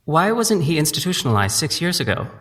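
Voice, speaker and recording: Brief: male actor as an earnest, formal young doctor, even delivery, reverberant. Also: fairly high pitch. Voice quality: measured, polite, formal. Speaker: resident doctor.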